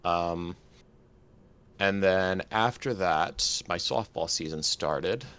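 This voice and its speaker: Male podcast co-host, low-pitched, conversational, some clicking and typing nearby. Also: takes it slowly, fairly bright tone, smooth. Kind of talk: casual chat.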